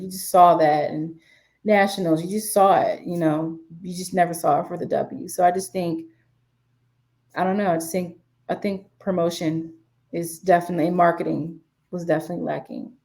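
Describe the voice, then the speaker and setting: A woman's voice: lowish voice, steady tone, fairly quick, a little mellow. A woman in her twenties from the DC area, chatting casually as an interview guest with many fillers and restarts. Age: 20s. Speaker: guest, sports media writer.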